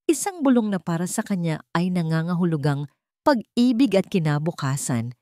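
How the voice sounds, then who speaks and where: A woman tells a scripted romantic drama. Her voice sits a little low for a woman, and the sound is dry and close, with no room around it. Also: sing-song, normal speed, dark tone, dry recording. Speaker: storyteller.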